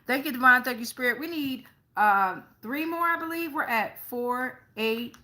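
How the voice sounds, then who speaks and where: Female tarot host, high bright voice, unscripted and colloquial, frequent check-in tags, swears casually. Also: normal speed. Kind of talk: improvised tarot reading addressed to online viewers.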